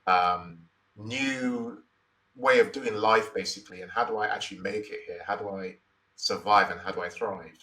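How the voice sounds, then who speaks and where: Interview guest: Nigerian man, 40s, deep but crisp-edged voice, reflective and repetitive. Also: steady tone, normal speed, pushed and bright, distant mic, low-quality recording. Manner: thoughtful, repeats phrases, many "you know, like" fillers.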